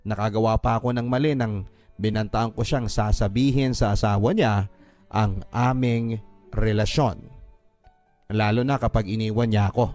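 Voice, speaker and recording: A man's voice: mid-range voice, darkish tone. Earnest man reading a radio drama letter. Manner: earnest and heartfelt. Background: faint background music.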